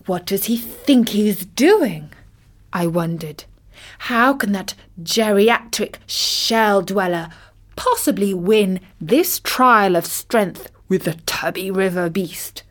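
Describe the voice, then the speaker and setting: A woman voicing a wry old vulture who narrates an animal fable in a measured, dramatic storytelling style. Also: mid-range voice. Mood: wry, intrigued. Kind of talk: scripted storytelling in character.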